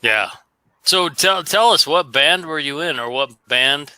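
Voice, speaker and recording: A man's voice: a little high, lively intonation, unhurried, sharp tone, clear, resonant tone, dry recording. A man hosting a casual livestream chat, speaking earnestly and addressing his viewers. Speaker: streamer host.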